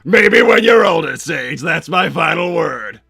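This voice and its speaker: A man voicing a pompous, hot-tempered cartoon villain doctor and strict, doting papa, raspy and blustering, chatting in character on a livestream. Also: a little high, animated, a little slow, bright, forceful tone, faint music behind, full character voice. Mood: stern. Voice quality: gravelly, raspy. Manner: blustering, bombastic. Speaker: livestream host.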